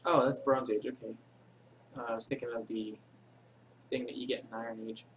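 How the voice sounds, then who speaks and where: A man's voice: mid-range voice, steady tone, brisk, slight background noise. A man casually narrating a screen-recorded game tutorial, rambling with many ums and self-corrections, in a voice he calls boring. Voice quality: says he has a boring voice. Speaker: game tutorial channel host.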